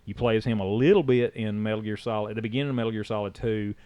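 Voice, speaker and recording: A man's voice: on the low side, steady tone, rapid-fire, mellow, smooth, no echo. A man co-hosting a podcast, chatting casually in a rambling way with many restarts. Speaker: podcast co-host. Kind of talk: casual chat.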